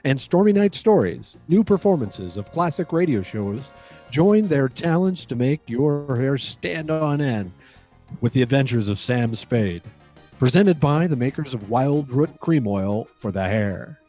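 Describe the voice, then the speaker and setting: A man's voice: medium pitch, lively intonation, measured pace, mellow, faint music behind. A man announcing in old-time radio style, delivering scripted show introductions and sponsor reads.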